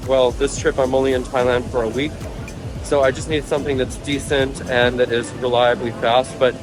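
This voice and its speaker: A man speaking in a chatty, upbeat way straight to his viewers, as if holding the camera himself. His voice sits at a middle pitch. Clear background music plays under him, with street and vehicle sounds behind. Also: level tone, normal speed. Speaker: travel vlogger. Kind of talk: casual travel vlog narration. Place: airport terminal, then car on the road.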